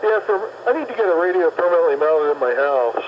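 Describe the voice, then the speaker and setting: A man's voice: fairly high pitch, lively intonation, quick pace, slight background noise. Chatty man on muffled car CB radio. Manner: chatty, upbeat, narrating his drive. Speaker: mobile CB radio operator. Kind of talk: casual CB radio chat. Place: in his car, driving. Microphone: mobile CB radio handset.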